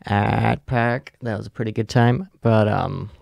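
Tired young male podcast co-host, slow gravelly delivery, crude and laid-back, close dry mic. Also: lowish voice, fairly even intonation, a little mellow, no echo. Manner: profane, laid-back, trailing off with fillers. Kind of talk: casual rambling monologue.

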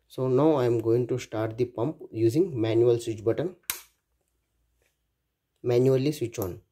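Very dark-voiced Indian-accented man. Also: medium pitch, slight background noise. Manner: clipped phrases, repetitive step-by-step listing. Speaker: electronics project demonstrator. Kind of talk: hands-on project demo narration. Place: indoors at a workbench.

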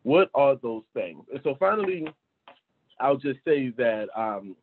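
A man's voice: medium pitch, sing-song, a little slow, a little hiss or hum. A man in his thirties speaking as an online panelist, making points with rhetorical questions and frequent tag questions. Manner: punctuates points with "right?", poses rhetorical questions. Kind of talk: earnest discussion on an online panel. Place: small indoor room, at a desk. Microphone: video call computer microphone. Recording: narrow, phone-like video-call audio.

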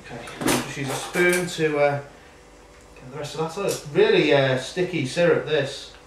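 Male cooking host, 30s, slightly high voice, chatty, recorded from across an echoey kitchen. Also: normal speed, noisy background. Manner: chatty, talks while working at the stove. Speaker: home cooking show host. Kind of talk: casual cooking demonstration. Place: home kitchen at night, indoors. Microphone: camera mic from across the room. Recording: roomy, distant camera-mic sound.

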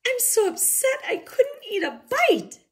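Woman doing a high wailing mama bear. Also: big pitch swings, unhurried, a little mellow. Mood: dramatic. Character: fussy mother bear, dramatic.

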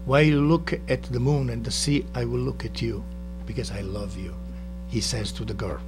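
A man in his sixties from Italy with an Italian accent, introducing songs in a TV studio and pausing to search for words. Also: mid-range voice, fairly even intonation, poor audio. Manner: halting, repeats words when searching. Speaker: guest singer-guitarist. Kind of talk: singing ballads with guitar, casual introductions. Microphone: stand mic in front of him. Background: acoustic guitar.

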